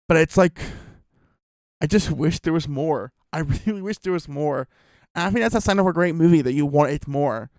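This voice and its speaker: A man's voice: a little high, darkish tone, dry recording. A man giving a casual, rambling film review, full of fillers and restarts.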